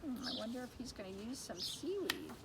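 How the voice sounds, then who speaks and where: Female storytime teacher, higher animated voice, explanatory asides for young listeners, recorded with heavy noise. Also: a little fast. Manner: expressive, explanatory, questioning asides. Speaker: teacher reading a picture book to children. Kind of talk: storytime read-aloud with asides to children.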